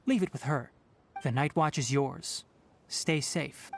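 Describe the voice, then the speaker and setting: An actor playing a calm, principled young forest ranger in a video game, speaking in a measured, articulate, matter-of-fact way. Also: male voice, fairly high pitch, vocal fry, exaggerated voice. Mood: dry, matter-of-fact. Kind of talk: scripted video game voice acting. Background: game music.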